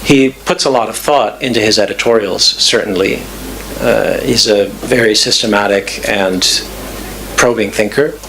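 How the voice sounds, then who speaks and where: A man with a lowish voice answers audience questions in a thoughtful, measured way, often pausing on fillers. A faint hiss sits under his voice. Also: vocal fry, fairly bright tone, poor audio. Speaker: guest speaker. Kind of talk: lecture Q&A answers. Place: club event room.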